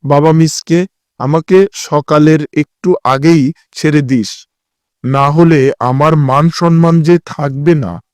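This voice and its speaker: A vain, scheming cartoon landlord, played by a man with a somewhat high voice. He is greedy and self-important, and can turn from ordering to wheedling. The recording is very dry. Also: slow pace, a little mellow, clean tone, dry recording. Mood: pleading. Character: greedy, scheming village landlord, vain about his honour. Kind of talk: cartoon voice acting.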